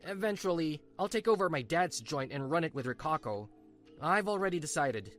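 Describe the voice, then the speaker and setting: A woman's voice: low-pitched, animated, normal speed, soft background music. A woman voicing a smug, sneering middle-aged husband in a manga dub. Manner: sneering, dismissive.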